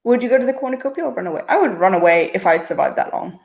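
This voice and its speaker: A woman's voice: medium pitch, expressive, creaky. A woman with a mixed international-school accent, chatting casually as she reads viewer questions aloud and answers them on a livestream. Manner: chatty, answers quickly with frequent uh and um fillers. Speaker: channel host answering viewer chat.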